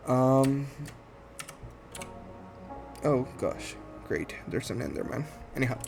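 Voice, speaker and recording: A man's voice: mid-range voice, expressive, creaky, faint music behind. Hesitant Guatemalan-accented man in his thirties. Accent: Guatemalan Spanish. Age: 30s. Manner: hesitant and halting, with many ums and restarts; shy, soft-spoken, earnest. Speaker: gaming channel host. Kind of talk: casual self-introduction while playing a game.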